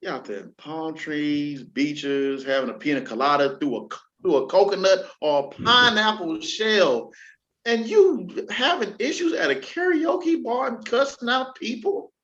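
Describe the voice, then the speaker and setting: A man hosting a casual entertainment news show, talking in an animated, slangy way and addressing listeners like an announcer. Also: high-pitched, a little slow. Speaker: show host. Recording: dull lo-fi audio, music underneath.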